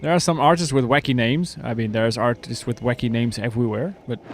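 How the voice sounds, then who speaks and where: A man's voice: mid-range voice, fairly even intonation, brisk, a little hiss or hum. A man streaming a video game live, chatting casually and laughing as he answers his chat. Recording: clear game music under the voice. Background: game music.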